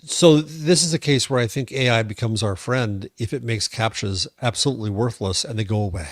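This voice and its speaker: A man's voice: mid-range voice, fairly quick, no echo, studio quality. A man with an American accent co-hosting a tech podcast, casually reasoning aloud and offering his opinions. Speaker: podcast co-host.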